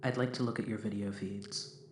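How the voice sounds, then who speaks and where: A man playing a calm, polite robot crew member in a science fiction audio drama, speaking formally and deliberately. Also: on the low side, natural voice. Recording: roomy echo, faint noise.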